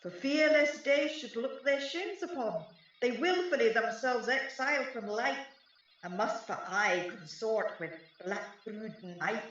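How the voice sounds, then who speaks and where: A woman's voice: a little high, expressive, fairly bright tone. Woman playing a mischievous fairy sprite, echoing and distant. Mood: ominous. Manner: sing-song rhymed verse, drawn-out mocking calls. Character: mischievous fairy sprite, taunting and gleeful, chanting spells. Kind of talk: Shakespearean radio play acting. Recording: roomy, echoing, distant, slightly dull.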